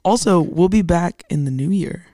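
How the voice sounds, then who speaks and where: Casting: young woman, podcast co-host, raspy recovering voice, slangy and animated, recorded close and dry. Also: normal speed, no echo. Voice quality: somewhat deep voice for a woman. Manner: animated, slangy, self-deprecating. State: recovering from a lost voice. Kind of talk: casual podcast chat.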